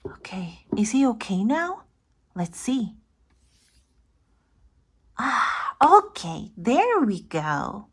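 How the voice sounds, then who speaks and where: A woman reading a picture book aloud to young children, playful and expressive, speaking directly to the child listening. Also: a little high, extremely slow, long pauses, breathy edge.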